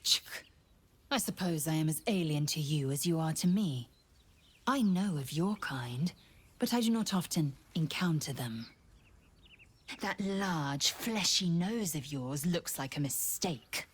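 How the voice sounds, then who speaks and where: A woman's voice: low-pitched, animated, measured pace, breathy edge, full character voice. An actress voicing a haughty, blunt alien warrior woman in a video game, speaking in a condescending, commanding way. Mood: disdainful.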